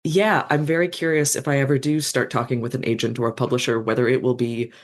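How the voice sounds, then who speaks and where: A fanfiction author in their thirties, a guest in a casual podcast interview, speaking thoughtfully and self-deprecatingly with many fillers and pauses. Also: mid-range voice, level tone.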